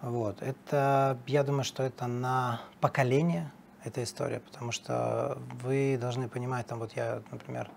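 A man's voice: medium pitch, little pitch movement, moderate pace, creaky, darkish tone, slight background noise. A middle-aged man, a political analyst guest in a TV studio interview, talking emphatically with fillers and restarts, on a lapel mic.

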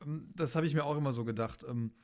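A man's voice: a little high, fast talker. A man on a podcast panel, chatting casually in a loose, jovial way. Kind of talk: casual chat.

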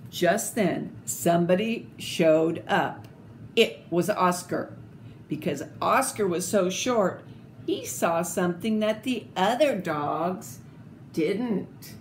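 An older woman reading a children's picture book aloud in an expressive, deliberate, theatrical way, with little asides. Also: on the low side. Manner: slow, deliberate, theatrical phrasing. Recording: clearly noisy home video recording.

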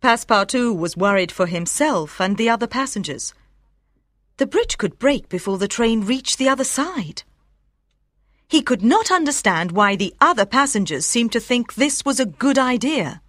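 A woman narrating a story for language learners, with clearly enunciated, measured phrasing. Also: fairly high pitch, expressive, fairly bright tone, no room sound, studio quality. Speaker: audiobook narrator.

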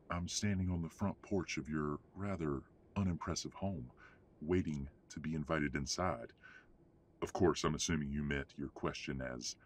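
An actor playing a haughty, middle-aged father who speaks in a pompous, formal, condescending way in an audio roleplay. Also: very deep voice. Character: haughty, cold, domineering upper-class father. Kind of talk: scripted audio roleplay acting.

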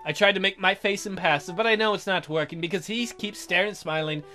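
A man's voice: high-pitched, quick pace, sharp tone. A man hosting a let's play, reading visual novel narration in an informal way and interrupting himself with casual commentary. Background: music with guitar.

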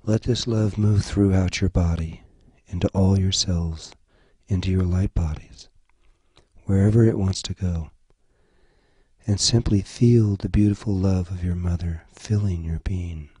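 A man leading a guided meditation, giving gentle, soothing instructions in short phrases. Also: sits low, fairly even intonation, unhurried, slightly rough, dry recording. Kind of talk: slow guided meditation narration.

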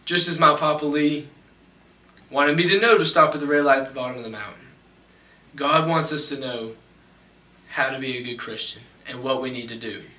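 A young man preaching a sermon from a church pulpit, reading scripture slowly and deliberately. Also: mid-range voice, pushed and bright. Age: young adult. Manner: measured, slows for scripture reading. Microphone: pulpit mic, far camera. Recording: echoey church from far off.

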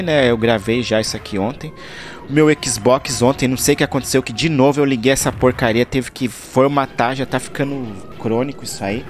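A man's voice: mid-range voice, a little hiss or hum. A man talking casually over his gameplay footage, rambling and searching for words, from Brazil. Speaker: gaming channel host.

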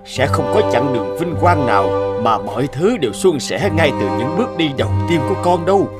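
Lively high-pitched encouraging dad, played by a man. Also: brisk, close to an everyday voice. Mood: firm, encouraging. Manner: hearty, expressive, encouraging. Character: upbeat, encouraging father of a young girl. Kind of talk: cartoon dubbing. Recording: loud music behind the voice.

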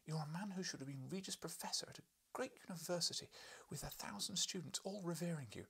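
A man voicing an indignant, scolding wife in an audiobook, with a breathy voice. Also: fairly high pitch, quick pace, pushed and bright, voice pushed up, full character voice. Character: indignant, scolding wife of a professor, high-strung woman. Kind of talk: audiobook character voice.

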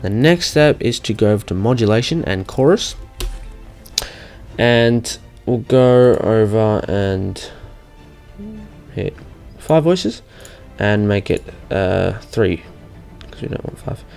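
A man's voice: on the low side, lively intonation, slow pace, vocal fry, darkish tone. Casual young man narrating over music. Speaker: tutorial host. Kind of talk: casual software screen-recording walkthrough.

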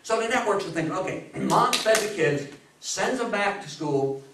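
An American man in his sixties giving an interactive public talk, animated and theatrical, full of rhetorical questions. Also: sits high, normal speed, noisy background. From New York State, US. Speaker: lecturer giving a history talk. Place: small meeting room with seated audience. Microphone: far camera. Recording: echoey room, distant camera microphone.

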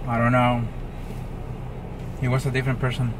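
A man's voice: medium pitch, monotone, moderate pace. A young man chatting casually and ordering from inside a car, picked up by the camera's microphone. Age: young adult. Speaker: prankster ordering at drive-thrus. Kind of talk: casual candid prank vlog. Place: inside a car at drive-thru windows. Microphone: camera mic in the car. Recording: loud music, car noise.

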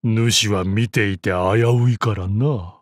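An actor playing a proud, battle-hardened old martial arts master in a game, with gruff dignity, dry amusement and archaic old-man speech. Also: fairly low pitch, steady tone, takes it slowly, no room sound. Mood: wry, fond. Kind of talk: Japanese mobile game character voice lines.

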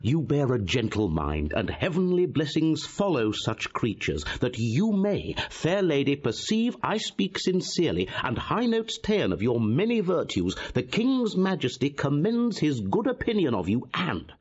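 Husky, very animated courtly lord. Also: male voice, fairly high pitch, measured pace, few pauses, muffled recording, heavily acted. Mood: gracious. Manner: courtly, gracious. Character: courteous, smooth-spoken noble lord at court. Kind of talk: classical Shakespearean radio drama acting.

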